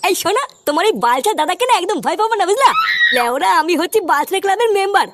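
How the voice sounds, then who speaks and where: A woman's voice: extremely high pitch, big pitch swings, racing, raised voice, loud music behind the voice. A woman voicing a brash, boastful young man in a comedy cartoon, with cocky, slangy, foul-mouthed talk. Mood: cocky boasting. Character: brash, boastful young man, idle club-going layabout.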